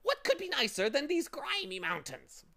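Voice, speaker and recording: A man's voice: very high-pitched, wildly swooping pitch, moderate pace, sharp tone, pitched above the performer's own voice, heavily acted. A man voicing a meddling, self-pitying bird in a storybook, with a shrieky cackle and a gleefully theatrical manner. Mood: mournful disgust. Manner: interrupting, gleefully literal, theatrical.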